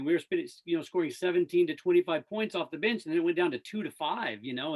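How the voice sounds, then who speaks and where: Sports broadcaster guest: male, lightly pitched voice, enthusiastic and informal, animated delivery. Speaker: radio play-by-play sports announcer, interview guest. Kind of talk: casual enthusiastic sports chat.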